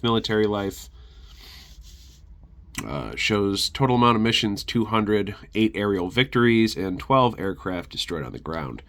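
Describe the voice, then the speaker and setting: A man's voice: on the low side, a bit bright. A man with a congested, sick voice giving a casual, rambling show-and-tell as host of a collection channel.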